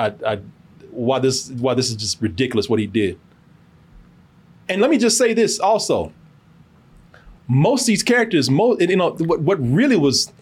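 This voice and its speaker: Animated, husky man reviewing a movie. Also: medium pitch. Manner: animated, emphatic, repetitive, cursing freely. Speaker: film review podcast host. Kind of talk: casual profane movie review, storytelling.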